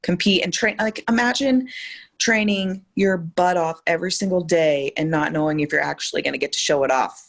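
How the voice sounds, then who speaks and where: A woman's voice: lowish voice, fairly quick, creaky. A woman talking as a guest on a podcast, chatty and peppering her speech with "like". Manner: chatty, heavy use of "like". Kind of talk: casual chat.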